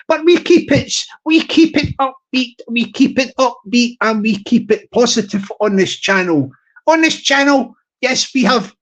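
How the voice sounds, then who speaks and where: A man's voice: very high voice, a bit bright. A man chatting casually on his live stream, rambling and chuckling, repeating words and restarting his sentences as he greets viewers. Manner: hearty, upbeat.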